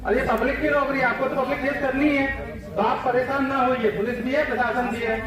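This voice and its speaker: A man's voice: extremely high pitch, steady tone, fast talker, recorded from a distance. A man leading a farmers' protest, speaking defiantly and with emphasis. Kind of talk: protest speech. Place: large indoor hall with crowd. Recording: echoey hall, crowd noise.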